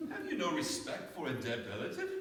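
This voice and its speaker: Guy playing formal old-time mystery lawyer, fussy, super lively pitch, far from mic, echoey stage. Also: fairly quick. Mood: proper, discreet. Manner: formal, proper. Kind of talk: staged radio play acting.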